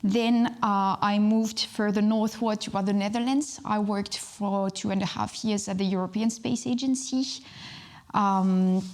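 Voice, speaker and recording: A woman's voice: mid-range voice, steady tone, measured pace, darkish tone. A young woman from southern France, a space physics researcher, giving a public science talk with many fillers and restarts.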